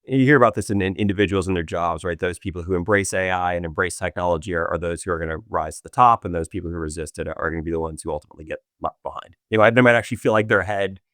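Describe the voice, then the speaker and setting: Male exec guest, low voice, rapid delivery, dry close mic, animated. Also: no room sound. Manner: fast, animated explanations with many restarts. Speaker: guest, revenue operations executive. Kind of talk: casual interview chat.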